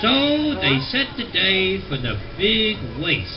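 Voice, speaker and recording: A man narrating a read-along storybook record in a gentle, sing-song storyteller manner. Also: high-pitched, big pitch swings, takes it slowly. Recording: dull lo-fi old record audio with music.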